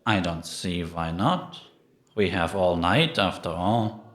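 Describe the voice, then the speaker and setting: A man playing a gentle, patient priest in a cinematic audio roleplay, probing with measured, contemplative questions. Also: deep voice, animated, full character voice. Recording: echoey, reverberant room.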